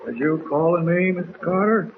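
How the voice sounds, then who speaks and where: An actor playing a shady, insolent jungle trader with folksy, ungrammatical speech in a 1940s radio drama. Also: male voice, on the high side, normal speed, close to an everyday voice. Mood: sly, needling. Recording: tinny, noisy 1940s radio recording.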